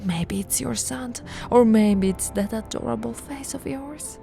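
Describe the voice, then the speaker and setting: An ancient vampire noblewoman, acted by a woman for an ASMR roleplay, speaking at an unhurried, lingering pace. Her voice has a crackly edge and often drops to a breathy whisper, seductive and possessive, over faint music. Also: a little high. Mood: haughty, intrigued. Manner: slow, teasing, breathy whispering with soft laughs.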